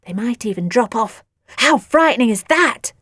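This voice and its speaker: Storybook babysitter, female, voiced by a woman reader: scheming, playful, melodramatic, high-pitched, very dry sound. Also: animated, normal speed, no echo. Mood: eager, goading. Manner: exclamatory, melodramatic, wheedling.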